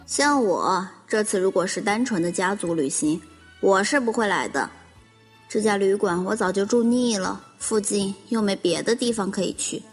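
A woman's voice: mid-range voice, moderate pace, breathy edge, close to an everyday voice. An actress playing a blunt, haughty, impatient young woman in a radio drama. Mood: bored, disdainful. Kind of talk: Chinese radio drama acting. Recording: long reverb, music underneath.

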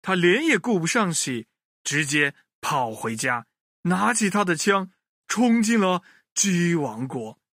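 A man telling a children's story in an expressive way, speaking directly to his young listeners. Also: high-pitched, slow pace, dry recording, studio quality.

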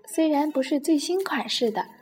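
A woman's voice: sits high, animated, moderate pace, a little mellow, soft background music, voice pushed up. A woman voicing a big, friendly male bird, a caring companion in a storybook, with a kindly manner. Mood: amused, pleased.

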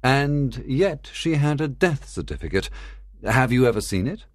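A man's voice: medium pitch, animated, unhurried, dry recording, studio quality, lightly acted. A man playing a composed Victorian detective who questions methodically in a dramatised audiobook. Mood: probing.

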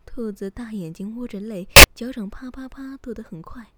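A woman's voice: fairly high pitch, moderate pace, heavy background noise. A woman radio host reading a scripted bedtime story in a soothing narration style.

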